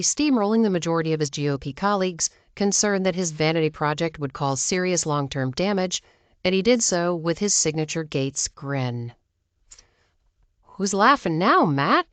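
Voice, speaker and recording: Lower-pitched woman narrating news, dry sound. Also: dry recording. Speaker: news commentator. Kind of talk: scripted political news narration.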